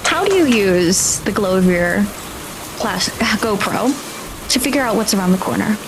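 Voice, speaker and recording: A woman's voice: mid-range voice, animated, normal speed, noisy background. A woman acting as game master, improvising descriptive scene-setting narration for a tabletop role-playing game.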